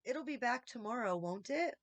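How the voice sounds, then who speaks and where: A woman's voice: fairly high pitch, expressive, normal speed, no room sound. A woman voicing a sincere, wondering young boy in a storybook reading. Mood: wistful. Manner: murmuring, amazed.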